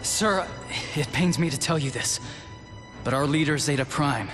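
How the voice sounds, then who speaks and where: A man's voice: on the high side, moderate pace, natural voice. An actor playing a young male robot messenger, earnest and brave, in a video game cutscene. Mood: urgent. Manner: urgent, earnest. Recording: game music behind.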